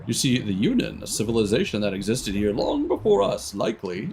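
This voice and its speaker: A man voicing a studious, chatty elderly scholar in a game, lecturing in a formal, theatrical, measured way. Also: mid-range voice, huge pitch swings, creaky, darkish tone, a little hiss or hum. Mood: pedantic, eager.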